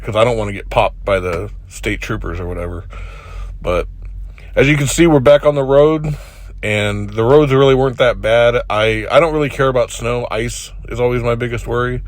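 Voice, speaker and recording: Congested man chatting casually. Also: on the low side. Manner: laid-back, joking, swears freely. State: congested with a cold. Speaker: truck driver. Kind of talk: casual driving vlog.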